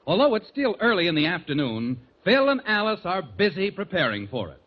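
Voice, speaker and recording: A man's voice: high-pitched, sing-song, a little fast. A man working as an announcer, reading a scripted introduction in 1940s radio style. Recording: muffled old radio recording. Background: loud music.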